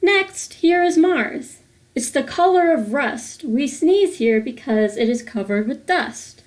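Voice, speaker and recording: A woman reading a rhyming picture book aloud for children in a deliberate, sing-song way. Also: high voice, lively intonation. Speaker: host of a children's read-aloud series.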